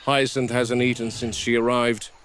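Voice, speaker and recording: A man playing a grave, observant game warden, narrating a scripted field log in a measured, dry, reflective way. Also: mid-range voice, level tone, a bit bright, slight background noise, close to an everyday voice. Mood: concerned, reflective.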